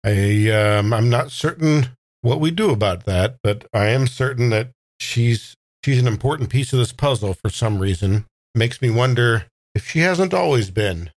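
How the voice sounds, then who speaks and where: A man playing a tabletop roleplaying game, improvising dialogue and narration in a halting, thoughtful way. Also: medium pitch, animated, no room sound. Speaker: player in a tabletop roleplaying audio drama. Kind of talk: improvised in-character talk and casual narration.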